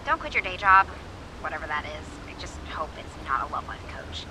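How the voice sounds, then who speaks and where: A woman's voice: low-pitched, sing-song, a little fast, noisy background. A woman playing an awkward, flustered young radio advice host in a video game, rambling, correcting herself and dryly sarcastic. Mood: dry, exasperated. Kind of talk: naturalistic video game voice acting.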